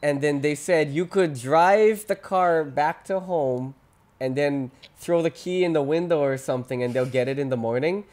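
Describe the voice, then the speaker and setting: A man's voice: fairly high pitch, normal speed, darkish tone. A man as a podcast guest, chatting in an easygoing way and laughing often. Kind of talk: casual chat.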